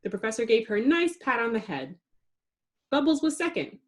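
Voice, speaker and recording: A woman reading a children's story aloud in an expressive narrating style, with dramatic emphasis for suspense. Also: on the high side, a little fast. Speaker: storybook reader.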